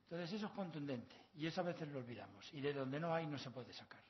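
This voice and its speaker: A man's voice: fairly high pitch, animated, fast. Castilian Spanish professor, a man lecturing, dull recording. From La Mancha, Spain. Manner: erudite, discursive, with proverbs and learned asides. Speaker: university professor, conference speaker. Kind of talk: academic conference lecture. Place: long conference hall. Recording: slightly dull, lo-fi sound.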